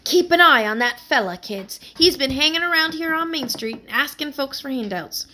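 Woman voicing a New York shopkeeper lady, brassy, wisecracking, high and bright. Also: animated, moderate pace, slight background noise. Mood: confiding. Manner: pushy, wisecracking.

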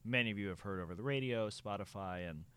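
A man's voice: lowish voice, fast, fairly bright tone, clean tone. A young man co-hosting a podcast, chatty and laughing often as he questions his guest. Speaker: podcast co-host. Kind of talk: casual podcast chat.

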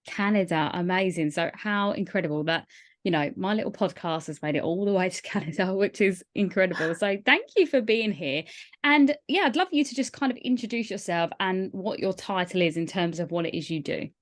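A woman's voice: mid-range voice, brisk. A woman hosting a podcast interview, chatting in an upbeat, encouraging way with plenty of fillers. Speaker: podcast host. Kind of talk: casual interview chat.

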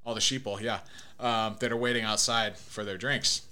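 A man's voice: on the low side, fairly even intonation, normal speed, sharp tone. A man hosting a casual podcast, joking and laughing as he chats and plays soundboard clips. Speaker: podcast host. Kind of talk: casual chat.

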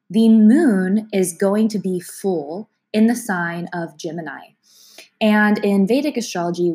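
A woman with a cold, sounding congested, giving a casual, chatty astrology forecast to her viewers and laughing now and then. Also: medium pitch. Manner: chatty, gentle, laughs between sentences. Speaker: astrology vlogger. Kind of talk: casual talk to camera.

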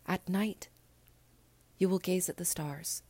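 A woman reading an audiobook, voicing a gentle, grave little boy prince who speaks softly and often in a whisper. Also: fairly low pitch, fairly even intonation, slow pace, husky, dry recording, full character voice. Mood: wistful. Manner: soft, wistful, often whispered.

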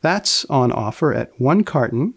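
A man with a gravelly, creaky voice hosts a podcast in a dry, deadpan manner. He words his scripted humorous narration with care and sits at an average male pitch. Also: no room sound. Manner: deadpan, wry, precise, deliberate wording. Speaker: podcast host.

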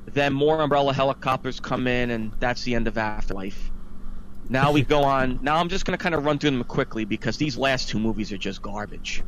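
A man's voice: medium pitch, a bit bright, poor audio. A man hosting a casual podcast, giving an exasperated, opinionated recap of films in a ranting style. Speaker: podcast host. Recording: clear background noise.

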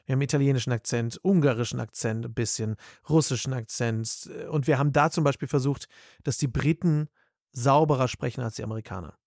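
A man's voice: mid-range voice, brisk, a little mellow, dry recording, studio quality. A male voice actor and dubbing director in a casual interview, speaking animatedly and thinking aloud with plenty of fillers.

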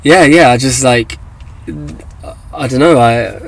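A young man with an English accent talks in a relaxed, everyday way, often pausing on fillers and starting his sentences over. His voice sits at an ordinary middle pitch. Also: vocal fry, slight background noise, rough recording. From England. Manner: hesitant, many fillers and restarts. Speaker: guest, activist. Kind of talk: casual interview talk.

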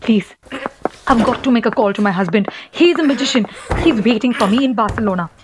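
A woman's voice: fairly high pitch, expressive, a little fast, background noise, close to an everyday voice. A woman acting as an agitated, pleading wife, stranded and desperate for a telephone, in an audio play.

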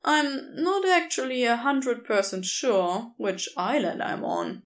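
A woman's voice: on the high side, slow pace. A woman voicing an easygoing, flirty male wanderer in a storybook reading, casual and candid. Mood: nonchalant. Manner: casual, laid-back, teasing.

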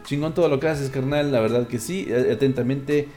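A man's voice: mid-range voice, normal speed, mellow, faint music behind. A man with a Mexican accent hosting a casual online show, chatting and reading listeners' messages in crude, jokey slang. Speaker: host of a live listener-call-in style online show.

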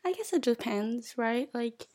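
Soft-spoken woman, thinking aloud. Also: on the high side, expressive, slow pace. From United States. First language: Chinese. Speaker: interviewee. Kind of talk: hesitant conversational answers.

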